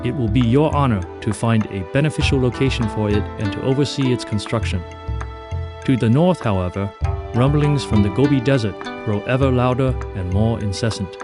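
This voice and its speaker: A man's voice: mid-range voice, a little fast. A man reading a formal, stately mission briefing for a strategy game, with music playing behind him. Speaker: game narrator. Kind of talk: scripted mission briefing narration.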